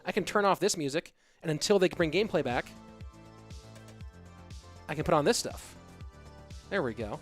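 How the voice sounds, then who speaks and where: A man casually casting an esports stream, reading viewer chat aloud and answering in a relaxed, rambling way. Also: fairly high pitch, moderate pace, long pauses, faint music behind.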